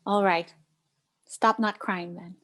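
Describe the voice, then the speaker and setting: A woman's voice: fairly low pitch, fairly even intonation, takes it slowly, fairly bright tone. A woman playing a caring but firm middle-aged Cuban aunt in a play table read, coaxing and mixing in Spanish endearments. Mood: coaxing, careful.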